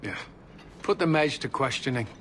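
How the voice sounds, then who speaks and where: A man's voice: mid-range voice, animated, moderate pace, fairly bright tone, natural voice. A man playing a stern, authoritative knight captain in a fantasy game, formal, skeptical and commanding. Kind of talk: fantasy video game acting. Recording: clear ambient background noise.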